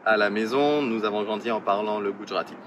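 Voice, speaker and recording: A man's voice: lowish voice, fast. A man from Madagascar who works for a community association, answering interview questions carefully. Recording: outdoor street noise.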